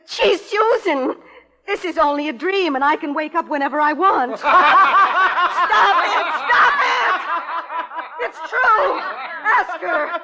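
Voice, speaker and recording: Frantic high-voiced young wife, echoing. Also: female voice, animated, takes it slowly, noisy background, full character voice. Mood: agitated, insistent. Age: young adult. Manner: breathless, desperate pleading. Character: frightened, pleading young wife trapped in her own nightmare. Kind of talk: 1950s radio suspense acting. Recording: echoey reverberant 1950s radio recording.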